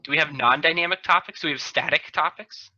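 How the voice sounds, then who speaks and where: A man's voice: a little high, fast, pushed and bright, dry recording, highs cut off. An American man chatting casually as a podcast co-host over a band-limited video call. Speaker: podcast co-host and local chamber of commerce chairman. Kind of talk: casual group banter. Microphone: video call microphone.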